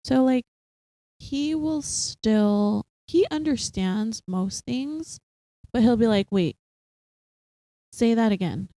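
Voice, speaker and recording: A young woman co-hosting a casual chat podcast, animated and quick to laugh, full of fillers. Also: on the high side, no room sound. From Philippines, raised partly in Singapore. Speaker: podcast co-host.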